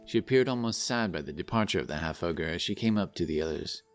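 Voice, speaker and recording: Low voiced guy narrating a fantasy story, scripted, little bit of music under him. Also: animated, fast, no room sound.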